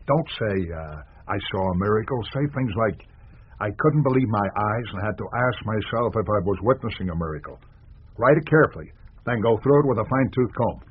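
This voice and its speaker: An actor playing a skeptical, cautious American newspaper boss in a radio drama, husky and authoritative. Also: male voice, lowish voice, expressive. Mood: resigned, cautious. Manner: measured, authoritative. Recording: tinny, narrow 1980s radio recording.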